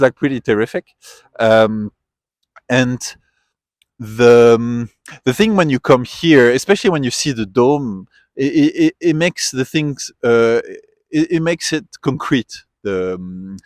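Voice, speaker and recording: Man, interviewee, mid-pitched, reflective and halting, dry close mic. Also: dry recording. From Switzerland. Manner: thoughtful, reflective, trailing off and restarting. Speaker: interviewee, tourist. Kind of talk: casual street interview. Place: outdoors at a memorial site.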